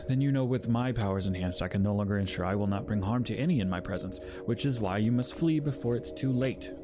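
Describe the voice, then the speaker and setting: A man's voice: fairly low pitch, a little fast, lightly acted. A man playing a powerful, anguished spirit of fear who holds himself back, speaking formally in an audio drama. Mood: urgent warning. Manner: formal, archaic, solemn wording. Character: Fear, powerful anguished male emotion spirit, self-restraining, dreaded. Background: music under the voice.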